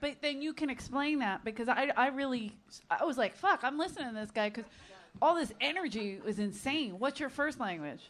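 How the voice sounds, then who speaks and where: A woman's voice: high voice, expressive, fairly quick, fairly bright tone. A woman from Ireland on a comedy panel, giving blunt, profane, laughing feedback. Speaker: guest panelist. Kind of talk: casual comedy banter.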